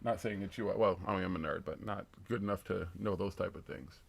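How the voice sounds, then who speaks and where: A man's voice: low-pitched, fairly quick, vocal fry, breathy edge. A man of fifty co-hosting a live show, chatting loosely and profanely, rambling through stories and viewer comments. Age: 50s. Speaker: podcast co-host. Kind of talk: casual live-stream chat reading comments.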